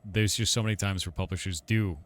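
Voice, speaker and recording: Low-voiced man hosting an interview. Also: fairly even intonation, a little fast, a bit bright. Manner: direct, affirming, conversational. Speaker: streamer host. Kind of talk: casual interview talk.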